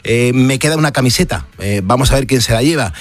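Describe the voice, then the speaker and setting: A man's voice: medium pitch, fast talker. A man hosting a late-night radio show, talking casually with frequent fillers and restarts. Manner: chatty, hesitant fillers and restarts. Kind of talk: casual on-air chat and live ad read. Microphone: studio broadcast mic.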